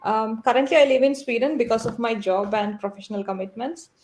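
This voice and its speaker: Female guest, South Indian accent, Malayalam mother tongue, slightly high voice, conversational talk on a video call. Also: brisk, a little mellow. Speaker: panel guest. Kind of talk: conversational self-introduction.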